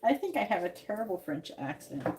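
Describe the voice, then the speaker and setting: A woman reading tarot cards on a live stream, musing out loud and trailing off with sighs. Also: mid-range voice, sing-song, fairly quick, background noise. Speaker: tarot reader.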